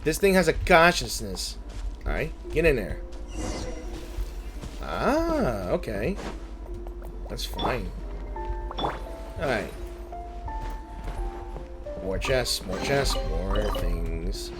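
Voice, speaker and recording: Lively man commenting on a game. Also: mid-range voice, drawn-out words, long pauses, over music. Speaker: gaming streamer. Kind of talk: casual let's play commentary.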